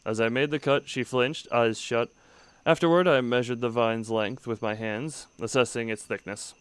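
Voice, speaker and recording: Clear-voiced male game streamer. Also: mid-range voice, steady tone, moderate pace, no room sound. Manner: breaks off mid-sentence and restarts, wry asides. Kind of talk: let's-play commentary and reading aloud.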